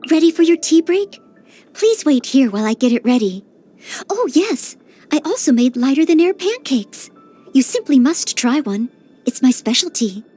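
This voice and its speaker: A woman's voice: high-pitched, sing-song, normal speed, dark tone. A woman voicing an earnest, eager-to-please teenage maid knight in a video game, speaking politely and formally. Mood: polite, cheerful. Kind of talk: video game character voice lines. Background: background music.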